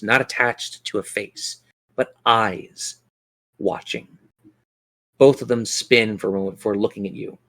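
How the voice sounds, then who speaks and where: A man's voice: on the low side, a bit bright. A man acting as game master, narrating and refereeing a tabletop fantasy game in colloquial language and speaking directly to his players.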